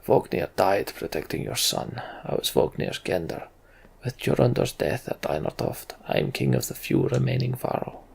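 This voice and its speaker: A man's voice: fairly low pitch, sing-song, normal speed, creaky, a little mellow, slightly rough, full character voice. A man voicing a huge, sombre warrior giant who leads his people in a fantasy game. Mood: grave, sombre.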